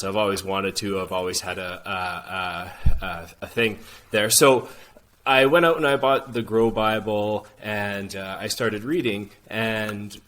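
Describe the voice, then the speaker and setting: A man from Canada, in his forties, talks as a podcast guest over a video call. His voice sits low but sounds bright and forceful, and he talks haltingly, with frequent pauses. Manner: hesitant, many uh fillers and restarts. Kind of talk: casual interview talk.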